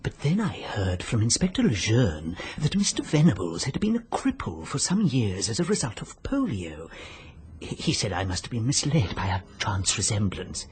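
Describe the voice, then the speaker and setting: Obstinate British busybody, radio drama, prim, over-polite, hesitant, very swooping intonation. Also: male voice, fairly low pitch, sing-song, moderate pace, a little hiss or hum. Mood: insistent. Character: fussy, apologetic, obstinate little busybody gentleman.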